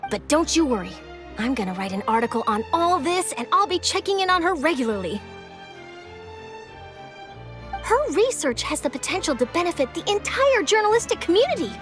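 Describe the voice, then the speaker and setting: A woman's voice: high voice, very animated, fast talker, fairly bright tone, soft background music. A woman playing an upbeat, encouraging young female reporter in an anime-style game, speaking in an eager, articulate, reassuring way. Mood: cheerful, confident.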